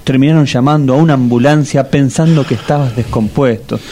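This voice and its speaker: A man voices La Torca-style fussy bachelor in a radio comedy, lonely but earnest. He scolds in a steady, mid-pitched voice with a porteño accent. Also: brisk, close to an everyday voice. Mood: scolding. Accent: Rioplatense Spanish. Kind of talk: comic radio drama acting.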